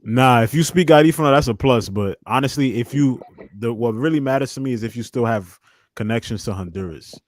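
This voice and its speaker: A man in his twenties speaks as a guest in a casual panel chat. His voice sits at an ordinary pitch and the sound is close and dry. His wording is informal and slangy. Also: a little fast, a little mellow, no room sound. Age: 20s.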